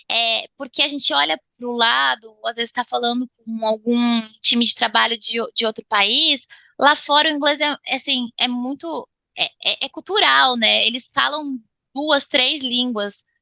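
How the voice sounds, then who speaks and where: A Brazilian woman speaking Portuguese with a Brazilian accent, giving a casual interview in a halting way, repeating words as she searches for phrasing. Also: sits high, vocal fry, sharp tone, dry recording. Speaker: interview guest, English student.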